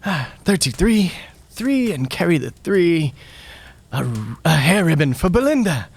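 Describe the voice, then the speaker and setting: A man playing a meek, kindly, timid poor clerk in a radio play, deferential and hesitant, with a husky voice. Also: on the high side, sing-song, unhurried, full character voice. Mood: absorbed, muttering.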